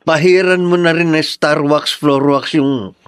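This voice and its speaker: A man's voice: on the high side, steady tone, measured pace, smooth, dry recording, clean studio sound, natural voice. A man with a Filipino accent playing a teasing, blunt elder brother in a radio drama, with a breezy manner.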